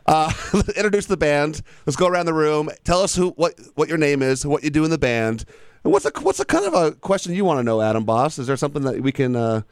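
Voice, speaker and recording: A man's voice: fairly high pitch, sing-song, fast talker, clean tone, no echo. A man with an American accent hosting a casual radio interview, chatting informally into a studio microphone. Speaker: radio show host.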